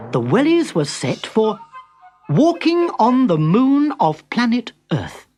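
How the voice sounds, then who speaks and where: A man telling a children's story with a sing-song, lively voice that swoops up and down. Music plays clearly behind him throughout. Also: very high-pitched, takes it slowly. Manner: lively, expressive narration. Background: background music.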